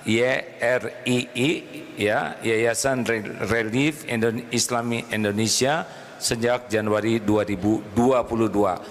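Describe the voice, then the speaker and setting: A man giving a casual sermon to a congregation. He reads notes aloud, stumbles over words and chats in asides. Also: fairly low pitch. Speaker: preacher. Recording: long hall reverb, faint noise.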